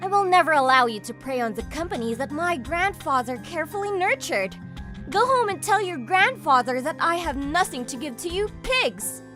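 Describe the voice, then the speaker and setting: A woman voicing a haughty young rich heiress, sharp-tongued and scornful, in an anime-style manga dub. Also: high voice, very animated, moderate pace, music in the background. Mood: indignant.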